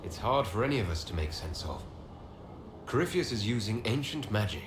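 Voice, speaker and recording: An actor playing a calm, supportive heroic leader in a fantasy video game, reassuring and considered. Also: male voice, lowish voice, fairly quick. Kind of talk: video game dialogue acting. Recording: echoey, with clear background noise.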